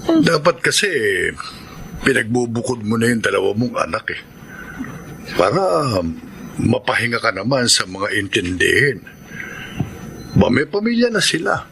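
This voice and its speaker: A frank middle-aged uncle in a Filipino radio drama. He admonishes firmly in a mid-pitched, forceful voice over faint ambience. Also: sing-song, measured pace, vocal fry, long pauses, slight background noise, heavily acted. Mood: admonishing. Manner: admonishing, firm.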